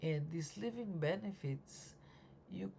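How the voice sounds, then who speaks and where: A life insurance agent, still learning the trade, explaining policies in a casual home video at night. The voice is raspy and sleepy, and the talk is halting, with many fillers and restarts. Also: medium pitch, mellow, slight background noise.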